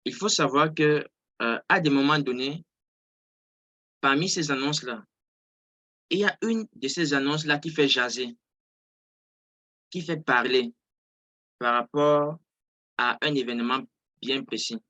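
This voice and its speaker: Male online trading instructor, non-native French, bright projected tone, dry close sound, explanatory, restates key words. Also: mid-range voice, measured pace, pauses often, no room sound, highs cut off. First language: not French. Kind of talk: informal live online lesson, explaining. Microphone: close mic on an online video call.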